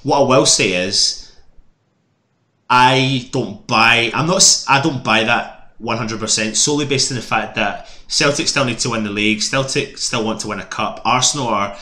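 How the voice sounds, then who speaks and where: Scottish guy on a footy fan panel, bright sharp voice, keeps cutting in. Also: medium pitch, moderate pace, roomy sound. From Scotland. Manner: jokey, jumps in with stammering restarts. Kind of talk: casual football discussion.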